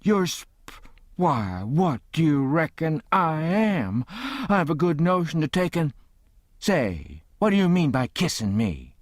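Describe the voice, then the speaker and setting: A man voicing a sharp-tongued older Southern woman who scolds in a high, shrill tone. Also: lively intonation, normal speed, hardly any pauses, no room sound, clean studio sound, close to an everyday voice. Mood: indignant.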